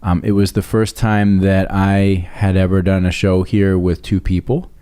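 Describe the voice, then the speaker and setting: A man hosting an interview podcast, talking in a measured, hesitant way with many restarts. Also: low voice, level tone, dark tone, smooth. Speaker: podcast host. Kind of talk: casual interview talk.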